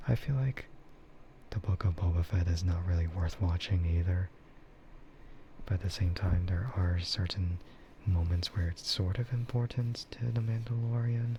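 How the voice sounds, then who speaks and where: Male ASMR streamer, soft whispery delivery, unhurried and rambling, muffled recording with clear background noise. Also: low voice, fairly even intonation. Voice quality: breathy, soft, mostly whispered. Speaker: streamer, VTuber. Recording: dull, muffled audio with loud ambient noise.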